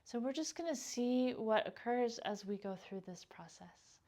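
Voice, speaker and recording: Gently guiding woman meditation teacher. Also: fairly high pitch, expressive. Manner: gentle, unhurried, instructional, phrases trailing off softly. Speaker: yoga nidra meditation teacher. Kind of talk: guided meditation.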